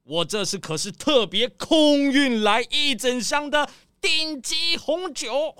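An actor playing a pompous, boastful rich crocodile gentleman in a children's audio drama, with theatrical, drawn-out exclamations. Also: high voice, lively intonation, dry recording, clean studio sound, full character voice. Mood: effusive, showy. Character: pompous, showy rich crocodile gentleman throwing his birthday banquet.

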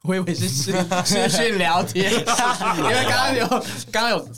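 A man's voice: high-pitched, expressive, moderate pace, a loud crowd. A man with a Taiwanese Mandarin accent co-hosting a casual chat show with a playful, teasing manner. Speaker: podcast co-host.

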